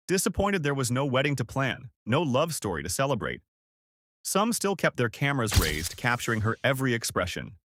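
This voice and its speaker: A man's voice: medium pitch, a bit bright, dry recording, clean studio sound. A man narrating a scripted story dramatically, with suspenseful, emphatic short phrases.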